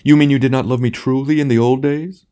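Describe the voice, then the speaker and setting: Audiobook villain, performed by a male narrator: ghostly ham tragedian, bullying and self-pitying, loud declamation pitched well up, swooping. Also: fairly even intonation, fast talker, natural voice. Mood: anguished. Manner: declamatory, melodramatic, bombastic. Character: bullying theatrical tragedian phantom, grandiose wounded self-pity. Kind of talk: dramatic audiobook character acting.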